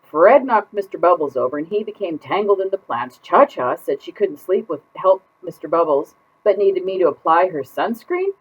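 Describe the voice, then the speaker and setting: Woman reading as dramatic put-upon goldfish, very animated. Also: fairly low pitch, slightly rough. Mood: exasperated. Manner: exaggerated, exasperated, drawn-out emphasis. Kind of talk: expressive children's picture-book read-aloud. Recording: dull lo-fi sound.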